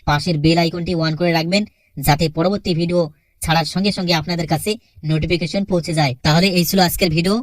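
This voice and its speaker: Male channel host for scripted outro: rapid, fairly high voice, dry studio-like sound. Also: steady tone, no echo.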